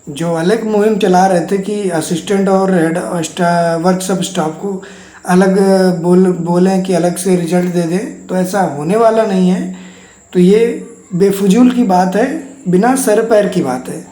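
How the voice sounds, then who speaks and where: A host explains updates to exam candidates in a soft, dark-toned voice. The delivery is reassuring and circles back over the same points. The room adds a clear echo. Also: medium pitch, measured pace. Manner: repetitive, reassuring. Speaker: host of an exam news channel. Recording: echoey untreated room.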